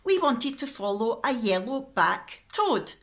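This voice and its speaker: A woman's voice: a little high, sing-song, measured pace, a bit bright, a little hiss or hum. A middle-aged woman with a Scottish accent, chatting informally to camera as a vlogger in her living room. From Scotland. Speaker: vlogger and pantomime scriptwriter. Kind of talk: casual vlog talk to camera. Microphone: phone at arm's length.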